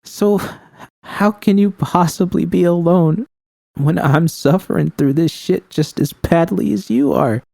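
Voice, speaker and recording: A man's voice: high voice, unhurried, creaky, warm tone, no echo, voice pushed up. A man voicing a tender, distraught young woman who speaks hesitantly and pleadingly in a fan fiction story. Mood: anguished. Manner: pleading, hesitant, swearing emotionally. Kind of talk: audio fan fiction dialogue.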